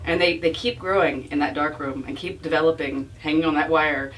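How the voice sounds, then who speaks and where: A woman with a slightly low voice, heard from away from the mic over faint room noise. She talks as an author being interviewed, thoughtful and self-deprecating, circling back and restarting as she goes. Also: steady tone, far from the mic, rough recording. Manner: halting, self-deprecating, reflective, restarts phrases. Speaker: author being interviewed about her book. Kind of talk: informal spoken book talk with audience. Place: bookstore event.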